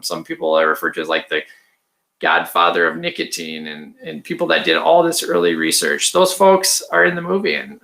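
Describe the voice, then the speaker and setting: A man with a raspy voice, hosting a casual livestream, chatty and rambling with frequent ums and throat clearing. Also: a little high, sharp tone. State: raspy from allergies or stress. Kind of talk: casual livestream chat reading viewer comments.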